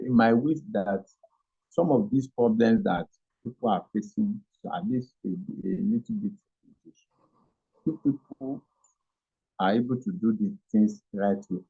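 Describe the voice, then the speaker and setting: A Nigerian man giving an interview, answering haltingly with many fillers and restarts. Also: on the low side, creaky, breathy edge. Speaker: interview guest. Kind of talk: hesitant conversational answers. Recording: dull lo-fi audio.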